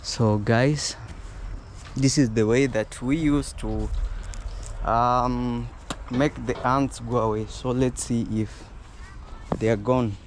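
A young Kenyan man filming a casual vlog outdoors in a rural yard, speaking to his viewers in a relaxed, low-key way. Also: takes it slowly, pauses often. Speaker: vlogger. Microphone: small clip-on mic. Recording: outdoor noise behind the voice.

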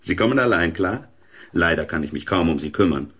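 An actor playing an affable, busy military press officer in a radio drama, clipped and obliging. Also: male voice, sits low, lively intonation, brisk, fairly bright tone, breathy edge, full character voice.

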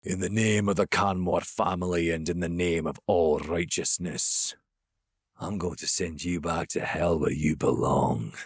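A man's voice: deep voice, a little slow, breathy edge, dry recording, clean studio sound, pitched below the performer's own voice, heavily acted. A man voicing a vengeful, self-righteous monster hunter with a taunting manner in a dramatic audiobook. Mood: righteous menace.

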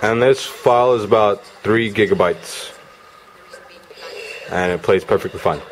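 A man's voice: on the low side, a little slow, slight background noise, low-quality recording. A man giving a casual hands-on gadget demo in an informal, off-the-cuff way. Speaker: product reviewer. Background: music from demo videos on a player.

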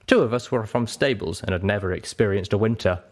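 A man narrating a story as an audiobook reader, in an expressive scripted style. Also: lowish voice, a little fast.

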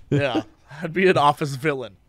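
A man co-hosting a casual podcast, hoarse from losing his voice, joking around and breaking into silly sung bits. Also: a little high, dry recording. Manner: goofy, sings and shouts bits, jokey asides. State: hoarse, lost voice. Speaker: podcast co-host.

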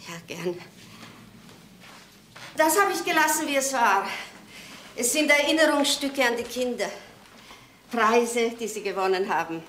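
An actress playing an older, estranged wife in a crime drama, polite, halting, wistful and resigned. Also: female voice, on the high side, expressive, a bit bright, slight background noise. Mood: fond, polite. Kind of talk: German crime drama acting.

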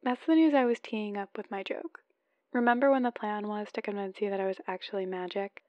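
A woman's voice: medium pitch, fairly even intonation, a little fast, no room sound, muffled recording, close to an everyday voice. A woman playing an adorkable, lovesick, playful newlywed wife leaving chatty voicemails in an ASMR roleplay. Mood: playful, excited. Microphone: close microphone, dry.

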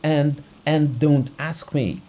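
A man's voice: mid-range voice, big pitch swings, measured pace, dark tone, slight background noise. A man voicing a grief-stricken, exhausted doctor in a story, refusing emphatically and irritably, with alarm in his manner.

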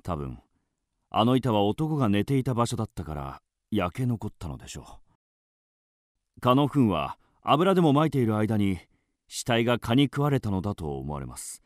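An actor playing a polite, serious police detective in a radio drama, speaking formally and with measured deference. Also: male voice, lowish voice, sing-song, a little mellow, dry recording, clean studio sound. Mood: grave.

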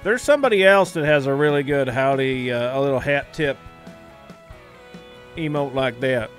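A man's voice: mid-range voice, moderate pace, soft background music. A man livestreaming a game in casual, rambling chat, repeating phrases and swearing freely, with a put-on folksy hillbilly drawl.

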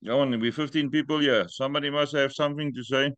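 A man's voice: mid-range voice, steady tone, fast, a bit bright, no room sound, muffled recording. A middle-aged man hosting a video call meeting, friendly and joking as he invites others to speak. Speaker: group meeting host. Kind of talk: casual video call chat. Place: home room with stone wall. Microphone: laptop webcam video call.

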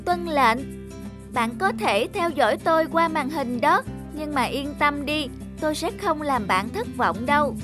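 A woman's voice: high-pitched, expressive, measured pace, music in the background. A woman voicing an obedient, reassuring robot copy of a boy in a cartoon. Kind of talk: cartoon dubbing.

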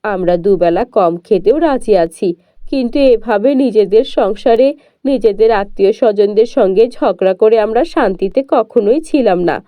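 A woman doing humble village folk, lower voice. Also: moderate pace, dry recording, close to an everyday voice. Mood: grateful. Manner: humble, grateful, steady. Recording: dull lo-fi dry recording.

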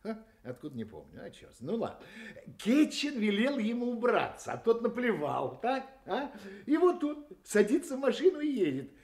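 An actor in a radio play voicing a gossipy, cynical, hard-drinking hotel detective who mutters, chuckles and rambles. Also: male voice, very high voice, huge pitch swings, moderate pace, darkish tone, exaggerated voice. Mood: animated, indignant. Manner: rambling, muttering, chuckling, full of interjections and tag questions. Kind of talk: Soviet-style radio play acting.

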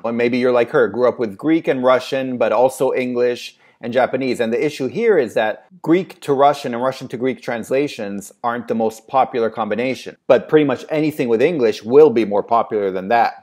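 A man's voice: mid-range voice, a little mellow. A man in his thirties talks straight into his webcam, easygoing and a little hesitant, hedging and restarting as he thinks aloud. His earphone mic picks up a plain, close sound in a small room at home. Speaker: translation agency owner giving advice. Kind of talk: casual advice vlog answering a viewer question.

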